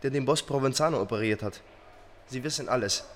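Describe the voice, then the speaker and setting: An actor playing an earnest immigrant farmhand in a radio crime drama, speaking in simple, halting, solemn sentences as a brave witness. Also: male voice, medium pitch, a little hiss or hum, close to an everyday voice. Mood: solemn, resolute.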